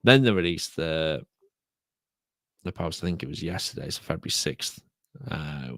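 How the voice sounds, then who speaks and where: A British man hosting a solo podcast, talking casually and repeating himself, croaky from a sore throat. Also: a bass voice, lively intonation, normal speed, fairly bright tone, no room sound. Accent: British English. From United Kingdom. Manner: rambling, repeats words. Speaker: podcast host.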